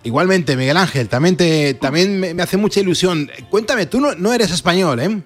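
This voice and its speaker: A man's voice: high-pitched, lively intonation, normal speed, faint music behind. A man with an accent from Spain, hosting a radio phone-in and chatting in a casual, familiar way with callers. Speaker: radio host taking listener calls.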